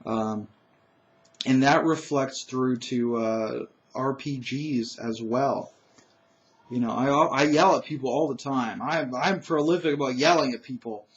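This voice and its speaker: A man with a naturally loud voice talking alone about tabletop gaming, emphatic and opinionated, with frequent "uh"s and restarts. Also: medium pitch, measured pace, dull audio. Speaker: gaming commentator. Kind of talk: solo opinion monologue.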